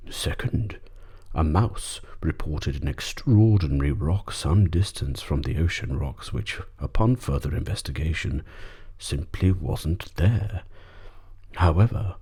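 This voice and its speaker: A man voicing a solemn storybook wild boar who reports in a formal, deliberate way, like an official investigator. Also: a bass voice, warm tone, breathy edge, exaggerated voice.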